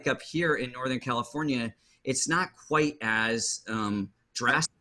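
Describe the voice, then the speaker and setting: A man's voice: mid-range voice, unhurried, pushed and bright. A man hosting a conversational podcast, asking loose, rambling questions full of fillers. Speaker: podcast host. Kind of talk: casual conversational interview.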